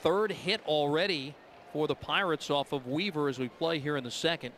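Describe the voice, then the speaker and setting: A man's voice: on the high side, moderate pace, a bit bright, a little hiss or hum. A man calling a baseball game live as the play-by-play announcer.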